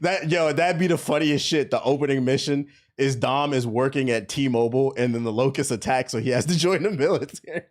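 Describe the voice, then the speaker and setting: A man's voice: medium pitch, fairly quick, hardly any pauses. An American man doing casual live-stream reaction commentary in a slangy, profane way. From US East Coast. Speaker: streamer reacting to game trailers.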